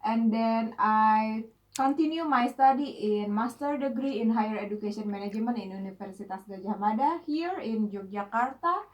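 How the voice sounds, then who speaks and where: A woman from Indonesia, a native Indonesian speaker, gives an academic talk on her research plan. Her voice sits somewhat high, and she moves through her points in careful order, pausing on fillers. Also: rough recording. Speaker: PhD student. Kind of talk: academic presentation of a research proposal.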